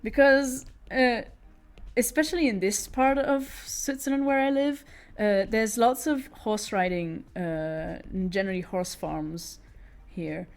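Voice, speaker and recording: A woman's voice: medium pitch, measured pace. Giggly British-accented woman chatting. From Italy. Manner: chatty, giggly, restarts often. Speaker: streamer. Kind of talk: casual chat while drawing.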